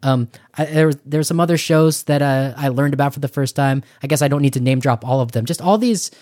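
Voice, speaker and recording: A man's voice: medium pitch, brisk, darkish tone, dry recording. Middle-aged man, close dry sound. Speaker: podcast co-host. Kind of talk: casual conversational discussion.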